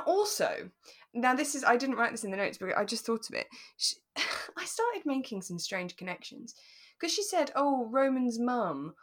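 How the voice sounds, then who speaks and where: A woman's voice: a little high, fairly bright tone. A British woman co-hosting a podcast, chatting casually and with a giggly, self-deprecating manner as she recaps a drama. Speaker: podcast co-host.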